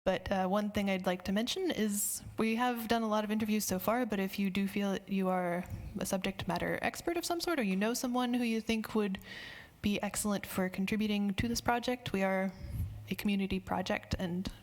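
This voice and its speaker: A woman's voice: mid-range voice, brisk. A woman speaker answering audience questions after a conference talk, conversational and friendly, with some fillers. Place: conference hall.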